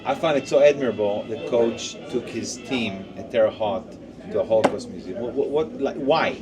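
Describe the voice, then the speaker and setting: A man's voice: medium pitch, moderate pace, over music. A man in his forties from Israel with an Israeli accent, co-hosting a casual chat in a deli, friendly and stumbling over his words. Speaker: podcast co-host. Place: indoor deli at a table. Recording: distant mic with deli chatter.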